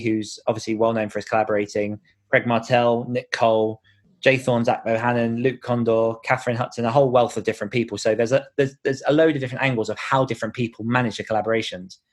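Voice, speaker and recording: Male author as podcast guest, mid-pitched voice, encouraging and explanatory, conversational delivery.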